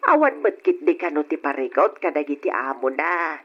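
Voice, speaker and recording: A woman's voice: low-pitched, animated, moderate pace, breathy edge. A woman playing an elderly, practical grandmother in a radio drama, fretful and firm with her husband. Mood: concerned. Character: elderly grandmother, concerned, practical, disapproving wife. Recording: dull lo-fi radio drama recording.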